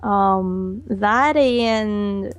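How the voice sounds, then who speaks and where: A woman's voice: mid-range voice, extremely slow, clear, resonant tone, no room sound. A woman animator answering interview questions, hesitant and giggly, with many fillers. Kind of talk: casual online interview.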